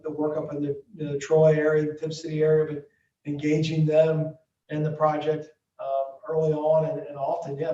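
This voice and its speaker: A man from Ohio representing a construction firm, calmly answering questions at a school board meeting. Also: fairly high pitch. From Ohio, USA. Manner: steady, businesslike explaining. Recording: muffled distant audio in an echoey room.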